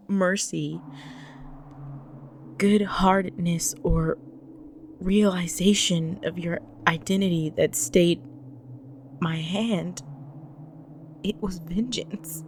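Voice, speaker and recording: A woman playing a grave, devoted vampire wife in an intimate audio roleplay, speaking solemnly to the listener in an often breathy, whispered delivery. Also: fairly low pitch, slight background noise. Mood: anguished, vengeful.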